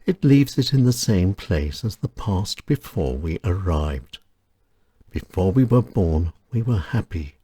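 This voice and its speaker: Deep, slow, husky-voiced male narrator. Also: expressive, dark tone, no echo, studio quality. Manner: measured, solemn, reflective delivery. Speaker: host and writer reading his own essay. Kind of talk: scripted reflective narration.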